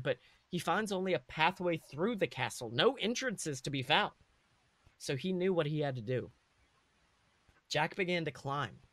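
A man's voice: on the high side, a bit bright, dry recording. A man co-hosting a podcast, reading and discussing his own comic fan fiction. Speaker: podcast co-host.

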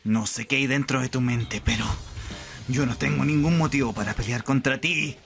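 A man voicing a serious, restrained teenage boy anime hero with a measured, earnest manner. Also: mid-range voice, vocal fry, fairly bright tone, faint music behind. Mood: pleading.

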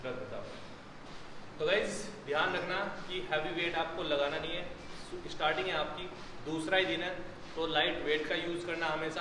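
A man's voice: a little high, noisy background. A young man coaching a gym workout on camera, giving instructions and encouraging praise to a trainee. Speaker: gym trainer and fitness channel host. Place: gym, indoors. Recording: echoey gym room, loud background ambience.

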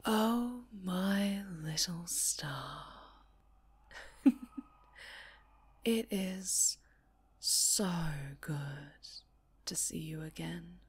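A possessive winged supervillainess , acted by a woman, from an ASMR audio drama. She speaks slowly and on the low side, in silky, breathy threats full of obsessive love. The sound is roomy and set back from the mic. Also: expressive, far from the mic. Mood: gloating, adoring. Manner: slow, silky threats with breathy half-whispers. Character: menacing, lovesick winged supervillainess, possessive and theatrical. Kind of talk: dramatic ASMR roleplay acting.

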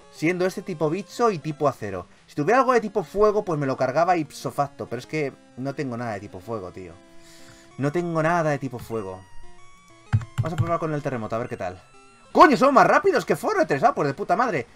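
A man with a Castilian accent from Spain giving excitable, sweary let's play commentary as a gaming YouTuber. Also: fairly high pitch, a little fast, faint music behind. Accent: Castilian Spanish from Spain. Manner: animated, expressive, swears freely.

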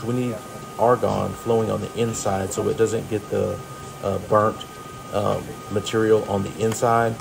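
Low, gravelly, even-toned American man. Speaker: welder hosting a tutorial. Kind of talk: casual how-to voice-over narration.

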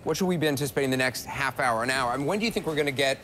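A man in his forties moderating a studio panel, asking questions in a quick, conversational way. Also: medium pitch, a bit bright, a little hiss or hum. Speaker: news anchor. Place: large television news studio.

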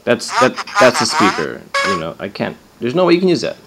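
A man's voice: mid-range voice, huge pitch swings, fast talker, creaky, heavy background noise. A man giving a casual hands-on gadget demo as a reviewer, offhand and sardonic, swearing and sounding exasperated.

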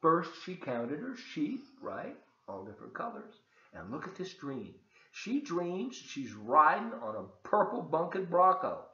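Expressive older man reading aloud, very animated pitch. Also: measured pace. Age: 70s. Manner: expressive, explains pictures to listeners. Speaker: volunteer storybook reader. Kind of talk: read-aloud storytelling for children with asides. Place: large open kitchen and living room, indoors. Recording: echoey room, slightly dull webcam sound.

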